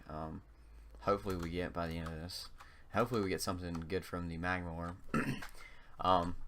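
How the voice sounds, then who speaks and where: A young man from North Carolina with a slight North Carolina Southern accent, casually commenting on his gameplay in a halting way, full of um and uh fillers. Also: low-pitched, fairly bright tone, slight background noise. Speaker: gaming channel host.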